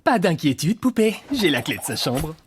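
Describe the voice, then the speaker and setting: A man's voice: on the high side, sing-song, moderate pace, slightly rough, full character voice. A man voicing a mischievous, cynical cartoon prankster in French dubbing, sarcastic and teasing. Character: mischievous, cynical male cartoon prankster who enjoys others' misfortune. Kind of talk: French-dubbed animated series acting.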